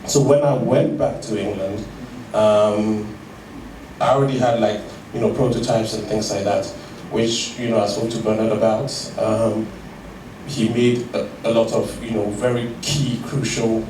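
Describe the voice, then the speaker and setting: A man recounts his story hesitantly, pausing often and restarting his sentences. He is recorded from some distance in an echoey room with clear background noise. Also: lowish voice, flat delivery. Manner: hesitant, many fillers and restarts. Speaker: guest, tech founder. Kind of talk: conversational interview.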